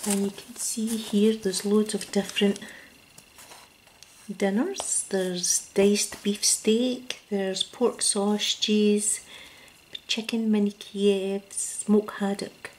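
A Scottish woman speaking ASMR in a soft, gentle whisper close to the mic, at a table indoors. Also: mid-range voice, little pitch movement, slow, a little hiss or hum, low-quality recording. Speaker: ASMR creator.

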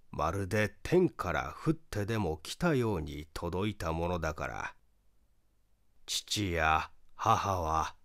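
Grieving brother in a mystery audiobook, played by a male reader: lowish, slow, polite, reserved. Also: clean tone, dry recording, studio quality. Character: reserved, grieving young man recalling his dead sister. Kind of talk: audiobook character dialogue.

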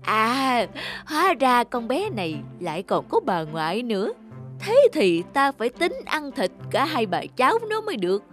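A woman voicing a sly, greedy big bad wolf in a children's fairy-tale cartoon, scheming and coaxing with drawn-out words. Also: on the high side, sing-song, fairly bright tone. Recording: music under the voice.

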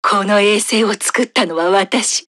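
A woman's voice: mid-range voice, takes it slowly, fairly bright tone, no echo, clean studio sound. A woman playing an imperious, megalomaniac commander of a secret satellite superweapon in a video game, with a haughty, theatrical, commanding delivery. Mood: megalomaniac, gloating. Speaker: military satellite weapon operator. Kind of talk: dramatic Japanese video game voice acting.

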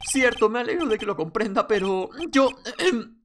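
A man voicing a timid young male defendant from a video game in a halting, nervous manner. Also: high voice, expressive, pitched above the performer's own voice.

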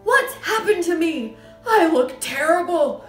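A woman voicing a blustering, bad-tempered middle-aged father who yells with indignant, bullying outrage. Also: a little high, lively intonation, takes it slowly, reverberant, faint music behind. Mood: appalled panic.

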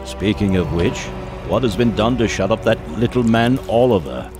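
A man's voice: lowish voice, animated, normal speed, over music, natural voice. An actor playing a greedy, calculating 1830s English businessman in an audio drama, with formal, pompous diction. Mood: cold, menacing. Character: scheming, greedy 1830s English elixir-company boss, cold and calculating. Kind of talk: family audio drama acting.

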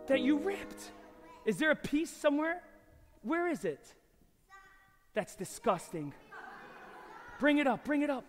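A man performing a live family magic show, coaxing volunteers and the audience with lively energy in a large hall. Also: very high voice. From New York. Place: large old church concert hall with audience. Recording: loud background music in a hall.

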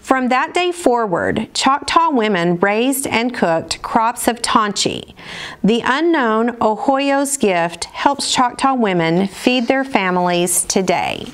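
A middle-aged woman with an American accent reads aloud as a storyteller for children, in a measured, instructional manner with careful enunciation. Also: fairly high pitch, lively intonation. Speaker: storyteller, school program director. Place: indoors, seated at table before dark curtain.

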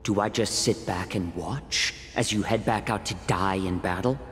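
An actor playing a weary, stern yet self-sacrificing fox-eared healer in a game, speaking gravely and haltingly. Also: male voice, fairly low pitch, slight background noise, natural voice. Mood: calm, stern. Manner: measured, grave, halting delivery. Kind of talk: dramatic game voice acting. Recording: long reverb with music behind.

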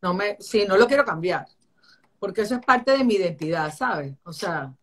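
A middle-aged woman from Venezuela with a Caracas accent, telling stories in an animated, chatty way with many restarts, recorded over a video call from home. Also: medium pitch, moderate pace. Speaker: architect interviewee. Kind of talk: casual interview. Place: home room.

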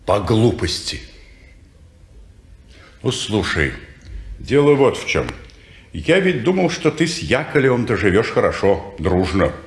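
A dry cynical guy, low-ish voice, roomy old radio recording. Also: big pitch swings, unhurried, long pauses. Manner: dry, sardonic. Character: cynical detached man, former lover.

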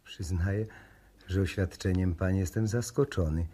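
An actor playing a courteous, guarded Japanese embassy diplomat in a radio play, speaking formally and with measured politeness. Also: male voice, low voice, fairly even intonation, dark tone. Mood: puzzled, insistent. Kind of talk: 1960s Polish radio play acting.

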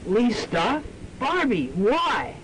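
A man's voice: very high-pitched, very animated, extremely slow, fairly bright tone, full character voice, low-quality recording. A man playing an earnest, hapless young student revolutionary with a dry delivery in a campy college radio drama. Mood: hurt, earnest. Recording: amateur student radio, faint noise and bustle.

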